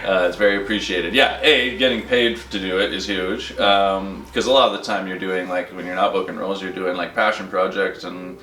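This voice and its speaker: A man's voice: low voice, steady tone, brisk, hardly any pauses, bright, forceful tone, slight background noise. A man chatting casually as an interviewed voice actor, jokey and self-deprecating. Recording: roomy echo, distant from mic.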